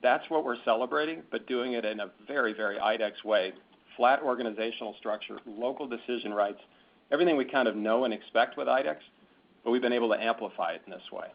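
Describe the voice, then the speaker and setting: A male company executive talks through business matters on a conference call. His mid-pitched voice comes through slightly muffled and lo-fi. He explains semi-formally, doubling back on words and phrases as he goes. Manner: halting, repeats words and phrases. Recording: dull, band-limited conference call audio.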